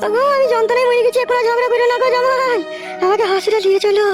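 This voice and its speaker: A pregnant village wife in a comedy cartoon, extremely shrill and high. She moans and pleads at a very fast clip, with music clearly behind her. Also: fairly even intonation. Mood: pained, pleading. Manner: drawn-out moaning, pleading. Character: wailing pregnant mother-in-law in labour, middle-aged, pleading.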